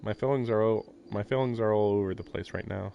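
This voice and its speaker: A man's voice: fairly low pitch, fairly quick, vocal fry, lo-fi sound, slight background noise. A man streaming a visual novel, reading its text aloud and reacting in a rambling, casual way, with swearing and short laughs. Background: game music.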